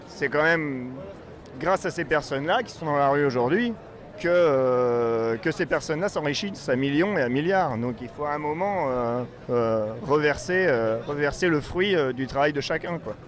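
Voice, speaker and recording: A man's voice: on the high side, animated, moderate pace. A man from Normandy, speaking off the cuff as a protester in a street interview, often searching for his words. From Normandy, France. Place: outdoors in a city street at a protest.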